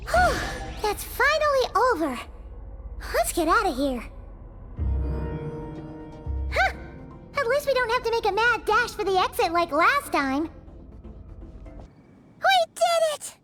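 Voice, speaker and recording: An actress playing a tiny, excitable fairy-like companion who talks about herself in the third person, bubbly and boastful. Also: female voice, takes it slowly, long pauses, over music, full character voice. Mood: relieved. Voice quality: very high and chirpy. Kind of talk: video game voice acting.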